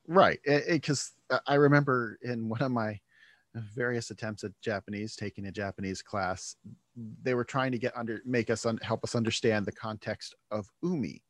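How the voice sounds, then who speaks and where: A man's voice: lowish voice, fairly even intonation, moderate pace, a bit bright, no room sound. A man hosting a podcast, chatting casually and thinking aloud, often starting sentences over. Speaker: podcast host. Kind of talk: casual conversation.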